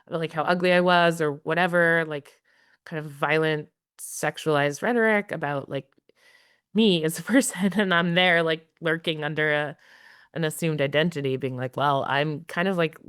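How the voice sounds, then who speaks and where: A woman in her thirties, a journalist guest talking fast and wryly with lots of fillers in a casual interview. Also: lowish voice, fairly bright tone, clean tone, no room sound, clean studio sound. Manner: fast, filler-heavy, wry.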